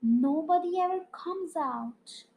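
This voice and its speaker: Woman reading as an old grandad, hushed and confiding, storybook. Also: extremely high pitch, sing-song, normal speed, heavily acted. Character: old grandfather telling secrets.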